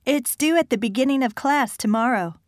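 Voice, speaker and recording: A woman voicing students, a professor and an office clerk in scripted exam dialogues, with a clear, polite delivery. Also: high-pitched, animated, fairly quick, no echo, studio quality.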